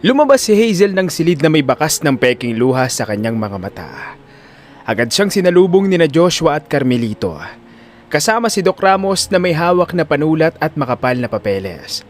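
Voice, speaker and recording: A man's voice: a little high, big pitch swings, fairly quick, slight background noise. A man narrating an audio drama in a scripted, dramatic storytelling style.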